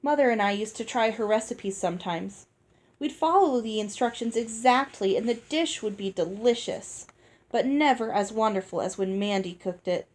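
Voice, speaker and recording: A woman reading a children's novel aloud as scripted, expressive storybook narration. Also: mid-range voice, normal speed. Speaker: audiobook reader.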